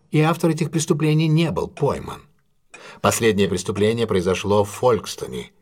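An actor playing a composed, analytical male detective in an audio drama, explaining things in a deliberate, self-assured way. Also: medium pitch, darkish tone, natural voice. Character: composed, analytical male English detective recounting a solved case.

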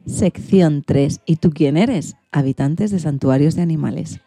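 A woman's voice: deep voice, measured pace, mellow, no echo, studio quality, faint music behind. A woman hosting a radio show, presenting from a prepared script. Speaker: host.

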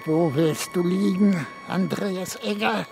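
Creaky, slow, dying old goatherd. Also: male voice, high voice, darkish tone, a little hiss or hum, full character voice. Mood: grim, brooding. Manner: slow, brooding, ominous. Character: dying old goatherd, bitter, morbid, fatalistic. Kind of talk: radio drama acting.

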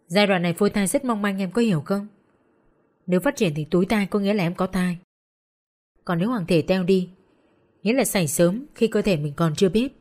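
A woman voicing a calm, firm young male doctor who explains things levelly. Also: mid-range voice, a little fast, long pauses, natural voice.

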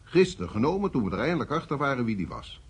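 A man's voice: mid-range voice, very animated, fast, noisy background. An actor playing a male security service chief in a radio drama, questioning in a brusque, authoritative way. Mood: brisk, uneasy.

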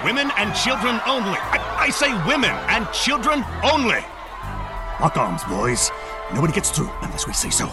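An urgent ship's officer barks commands in an audio drama. The voice is high and swings widely in pitch, over loud dramatic music. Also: male voice, very animated, normal speed. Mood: shouting orders. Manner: shouting orders, urgent.

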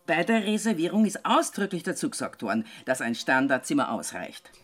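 A woman's voice: low voice, sing-song, a little fast. Snippy austrian landlady, matter of fact, voice goes up and down a lot. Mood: curt. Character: curt, unimpressed female country innkeeper. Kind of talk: radio drama acting.

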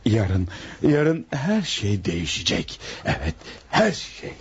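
An actor in a radio play voicing a drunk, lovestruck, scheming man, slurred and impassioned, with a husky, gravelly voice. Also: fairly low pitch, huge pitch swings, takes it slowly, full character voice. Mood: drunk, menacing. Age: adult, not old. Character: drunk, besotted, scheming male lover of married woman.

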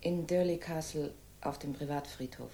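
A soft-toned, lowish-voiced woman, reticent and guarded in a 1960s radio thriller. She sounds far from the microphone in an echoey room. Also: normal speed. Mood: subdued. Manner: hesitant, reticent, understated. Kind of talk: late-1960s German radio crime drama acting. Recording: distant, roomy, faint noise and rumble.

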